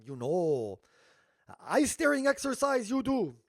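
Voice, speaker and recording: A man's voice: very high-pitched, sing-song, a little slow, no room sound, clean studio sound, pitched above the performer's own voice, exaggerated voice. A man putting on a stern Korean-accented mentor. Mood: warning. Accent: Korean-accented English, put on. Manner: clipped broken phrasing, emphatic. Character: stern older male Korean mentor giving warnings.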